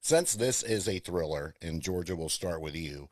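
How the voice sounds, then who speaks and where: A man's voice: low voice, lively intonation, normal speed, darkish tone, no room sound, studio quality. A man hosting a pop culture podcast, chatting with a film cast on press day and often restarting his sentences. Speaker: podcast host. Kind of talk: casual press-day interview.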